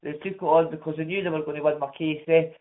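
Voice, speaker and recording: A man's voice: on the high side, steady tone, fast talker. A man with a Scottish accent, rambling and apologetic on a casual phone call, tagging his sentences with questions. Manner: rambling, apologetic, keeps adding "eh?" and "right?". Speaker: caller, prospective legal client. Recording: narrow phone line.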